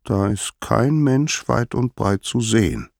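A man's voice: lowish voice, animated, slow pace, vocal fry, darkish tone, dry recording, studio quality, pitched above the performer's own voice, exaggerated voice. A man voicing a sly, playful little boy god who schemes and feigns innocence in a storybook tale. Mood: delighted, scheming. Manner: sly, wide-eyed, playful.

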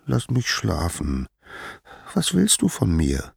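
A man's voice: low voice, huge pitch swings, slow, gravelly, a little mellow, slightly rough, no room sound, studio quality, full character voice. A man voicing a sly, playful little boy god who schemes and feigns innocence in a storybook tale. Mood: feigned innocence. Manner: sly, wide-eyed, playful.

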